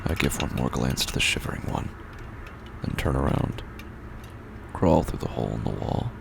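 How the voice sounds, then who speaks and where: An actor playing a broken, haunted man who narrates horror audio drama slowly and grimly, his gravelly voice often sinking into a whisper. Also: background noise, exaggerated voice. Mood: bleak, resigned. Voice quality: very deep gravelly fry, often breaking into whisper. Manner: slow, grim, deliberate delivery.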